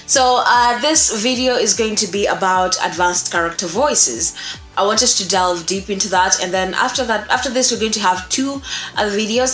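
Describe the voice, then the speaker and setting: A woman's voice: mid-range voice, a bit bright. A woman in her twenties giving an informal lesson to camera at home, conversational and emphatic, often repeating words and checking in with the listener. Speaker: voice-over course presenter, voice actor. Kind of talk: informal instructional talk to camera. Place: small room at home, indoors. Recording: roomy echo, faint music behind. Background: faint music.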